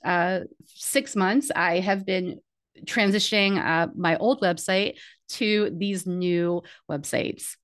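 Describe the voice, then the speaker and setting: A middle-aged woman speaks casually into a desk microphone in a small home office. Her delivery is chatty and unscripted, full of ums and uhs. Her pitch jumps up brightly whenever she mentions something new. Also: clean tone. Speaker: community strategist walking through her website. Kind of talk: casual screen-share walkthrough.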